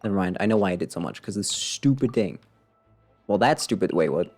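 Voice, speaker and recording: A man with a fairly low voice commentates casually over his own game stream. He thinks out loud, swinging between muttering and exclaiming, often at his own expense. Game music plays faintly behind him. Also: expressive, a little fast. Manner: thinks aloud, self-deprecating, mutters and exclaims. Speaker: video game livestreamer. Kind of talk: casual live gameplay commentary.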